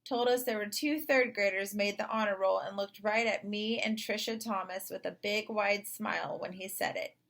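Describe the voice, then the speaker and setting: A woman narrating a children's story in an expressive read-aloud style. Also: medium pitch, normal speed, creaky, hardly any pauses. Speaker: storybook reader.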